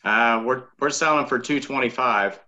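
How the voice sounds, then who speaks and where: A man's voice: medium pitch, normal speed, bright, forceful tone. Hesitant man on dull video call. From Illinois. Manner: hesitant, with many fillers. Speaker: caller. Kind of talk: casual video call chat.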